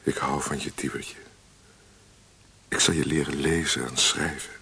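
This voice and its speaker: An actor playing a tender young painter-poet courting his sweetheart in a radio drama, speaking softly and coaxingly. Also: extremely low pitch, steady tone, unhurried, vocal fry, exaggerated voice. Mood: loving, coaxing. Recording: hissy, noisy old radio recording.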